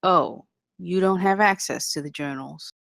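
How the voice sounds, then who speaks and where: A woman at a table read, playing a sharp, candid and skeptical male engineer. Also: lowish voice, measured pace, creaky, no room sound, clean studio sound. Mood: incredulous.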